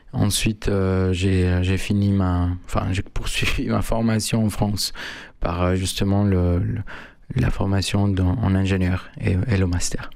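Man, flat gravelly voice, halting answers, dry sound. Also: low-pitched, no echo. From Mexico. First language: Spanish. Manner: halting, many fillers and restarts. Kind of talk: hesitant interview answers.

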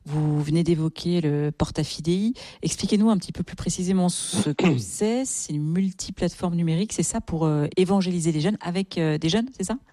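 Very low, mellow female interviewer. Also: a little fast, few pauses, dry recording. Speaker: interviewer, programme presenter. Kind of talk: broadcast interview questions.

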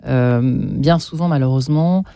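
An interviewer with a mellow, warm voice asks questions haltingly, stopping and restarting often. The recording is dry and close, with no room echo. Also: medium pitch, creaky, smooth. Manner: hesitant, with many fillers and restarts.

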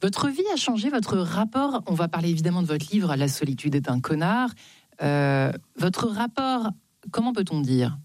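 A woman's voice: fairly low pitch, very animated, normal speed, a little mellow, no room sound. A woman hosting a radio interview in a studio, conversational, putting in short phrases and breaking up her sentences for emphasis.

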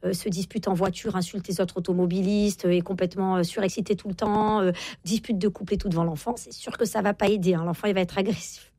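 Woman in her 40s, talks fast, kinda husky, emphatic expert guest explaining stuff, dry close sound. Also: medium pitch, fairly even intonation, mellow, dry recording. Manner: emphatic, repeats key phrases for insistence. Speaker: guest parenting expert and author. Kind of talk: interview talk, explaining and advising.

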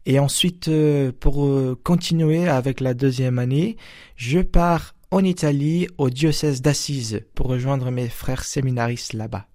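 Hesitant young man, mellow voice. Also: medium pitch, measured pace, dry recording, clean studio sound. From Brazil. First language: Portuguese. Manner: hesitant, many fillers and restarts. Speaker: seminarian. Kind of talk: radio interview answers.

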